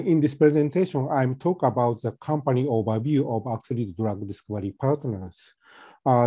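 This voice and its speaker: Halting soft-spoken man presenting. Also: medium pitch, breathy edge, no echo. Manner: halting, many fillers and restarts. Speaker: company presenter. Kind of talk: webinar presentation.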